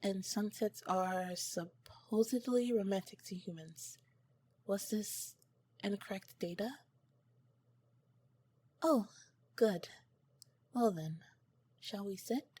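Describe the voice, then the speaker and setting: A woman playing an awkward, earnest female alien scientist in an ASMR roleplay, soft-spoken, with formal, clinical wording and flustered hesitations. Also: medium pitch, slow, long pauses. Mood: formal, polite.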